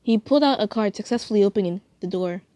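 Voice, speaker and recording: A woman's voice: mid-range voice, quick pace, warm tone, no room sound. A woman reading a fan-made story aloud in an informal, amateur way, stumbling over words and rereading them. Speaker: fanfiction reader.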